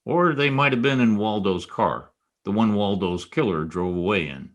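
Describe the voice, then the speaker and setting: A man's voice: on the low side, lively intonation, moderate pace, lo-fi sound, lightly acted. A man playing a wry, hard-boiled, dogged private detective in an old-time radio style drama. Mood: persuasive, businesslike.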